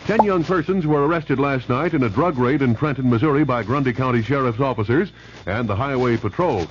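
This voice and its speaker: A man's voice: medium pitch, brisk, breathy edge. A man with an American accent reading a scripted local news and weather bulletin on the radio. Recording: dull, noisy old radio broadcast recording.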